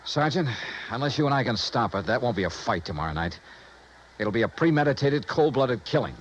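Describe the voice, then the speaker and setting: An actor playing a dogged, wry insurance investigator in 1950s radio noir style, with clipped delivery. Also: male voice, medium pitch, expressive, fairly quick, slight background noise, natural voice, rough recording. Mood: serious, urgent. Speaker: lead actor and narrator. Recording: vintage radio drama with music.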